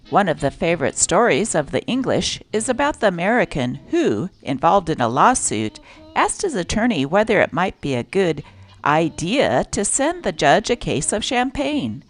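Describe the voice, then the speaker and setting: A woman host reading vintage newspaper stories aloud as scripted narration, with deliberate, expressive phrasing. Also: fairly low pitch. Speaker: channel host and newspaper reader.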